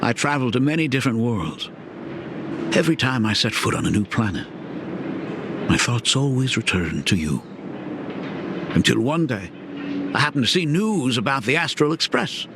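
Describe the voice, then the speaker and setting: A man voicing a gentle, regretful middle-aged father in a dramatic video game. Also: mid-range voice, creaky, background noise. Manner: gentle, reflective.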